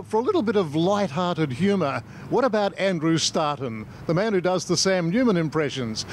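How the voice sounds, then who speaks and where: Higher-pitched Australian man, reporting on location. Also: slight background noise. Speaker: TV news reporter. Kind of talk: light local TV interview.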